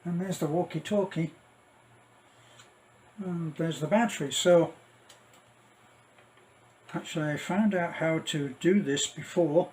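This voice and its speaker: A middle-aged man giving a casual, rambling unboxing commentary, halting and thinking aloud as he goes. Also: a little high, darkish tone, poor audio. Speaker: vlogger.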